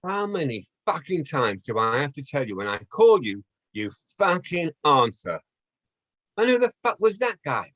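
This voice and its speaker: A man's voice: on the high side, very animated, normal speed, fairly bright tone, no room sound, pitched above the performer's own voice. A man playing an angry, bullying gangster pimp who interrogates aggressively. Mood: furious. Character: angry, menacing male gangster pimp, foul-mouthed and bullying.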